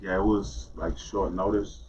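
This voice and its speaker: A man's voice: sits low, little pitch movement, measured pace, darkish tone, slight background noise. A man from Miami, a guest on a live-stream talk show, speaks in a laid-back way with loose street slang. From Miami, Florida. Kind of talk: casual interview chat. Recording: distant, dull live-stream audio.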